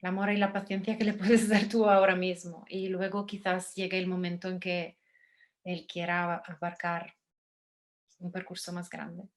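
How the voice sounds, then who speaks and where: A woman from Spain with a Spanish accent, giving calm, gentle advice on a video call, pausing and restarting often. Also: lowish voice. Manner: gentle, halting, with many pauses and restarts. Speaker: guest speaker, survivor. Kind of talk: calm advice in a live talk.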